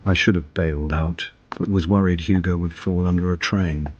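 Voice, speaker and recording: A man plays a middle-aged British jobbing actor with a low, dry delivery. He is world-weary and self-mocking, confiding in the listener as in a radio drama. A faint noise sits behind the voice. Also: fairly even intonation, moderate pace, lo-fi sound, close to an everyday voice. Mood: wry, confiding. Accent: British English. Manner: dry, droll, self-deprecating. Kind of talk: radio drama acting with first-person narration.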